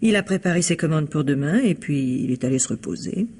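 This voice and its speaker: A married shopkeeper in an old-style French radio mystery. Her voice is husky, and she speaks with measured, evasive politeness that carries quiet spite and insinuation. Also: low-pitched, lively intonation. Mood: guarded, polite.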